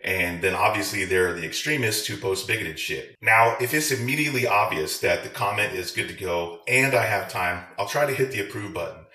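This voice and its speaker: A man with a fairly low, forceful, bright voice rants straight at his viewers. He swears freely and leans on crude sarcasm, and the room adds a clear echo around his words. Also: a little fast, hardly any pauses. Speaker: video creator, military veteran. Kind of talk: profane rant to viewers.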